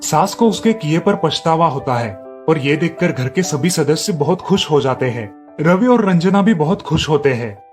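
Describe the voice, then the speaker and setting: A man's voice: a little high, lively intonation, moderate pace. A man narrating a scripted moral family story. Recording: music under the voice. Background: background music.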